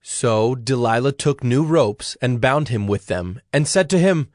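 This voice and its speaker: A man narrating dramatized scripture in a measured storytelling manner. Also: mid-range voice, animated, darkish tone, dry recording. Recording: dry studio with music underneath. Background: music.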